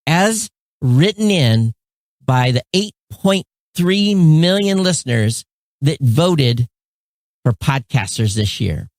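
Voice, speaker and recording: A man's voice: a little high, sing-song, slow pace, darkish tone, no echo. A man reading out a formal award announcement as the presenter.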